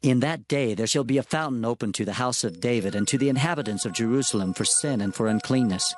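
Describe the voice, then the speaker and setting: A man plays an earnest prophet of ancient scripture. He declaims in a measured, solemn way at a middling pitch, with music clearly playing behind him. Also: close to an everyday voice. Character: solemn male Hebrew prophet, earnest and grave. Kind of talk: dramatized scripture reading.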